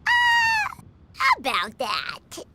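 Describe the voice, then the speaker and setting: A woman's voice: very high voice, wildly swooping pitch, extremely slow, heavy background noise, full character voice. A woman voicing a perky, childlike fairy companion in a video game, eager and exclamatory. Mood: flustered. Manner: exclamatory, swooping.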